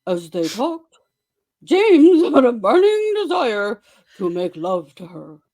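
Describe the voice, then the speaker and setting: A performer playing a mock romance-novel author, giving a theatrical, deliberate, tongue-in-cheek dramatic reading. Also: mid-range voice, sing-song, a little mellow. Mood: mock romantic. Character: Fabio Toadface, mock romance-novel author alter ego.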